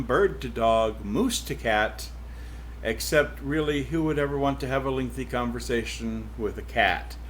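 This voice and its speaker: A man's voice: medium pitch, a little hiss or hum, natural voice. A man reading aloud as a witty, digressive female cow narrating her story.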